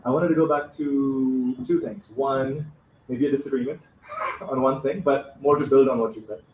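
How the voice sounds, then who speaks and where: A man's voice: mid-range voice, fairly even intonation, normal speed, a little mellow, echoey room, lo-fi sound, slight background noise. A man speaking from the audience at a live café discussion, direct and punchy, firing off short rhetorical questions. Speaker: audience member.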